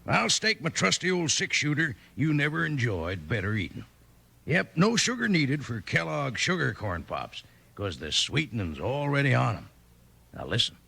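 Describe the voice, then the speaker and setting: A man playing a folksy, hearty old cowboy pitchman with an American Western drawl in a 1950s radio advertisement. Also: bright, forceful tone, exaggerated voice. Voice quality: raspy, gravelly.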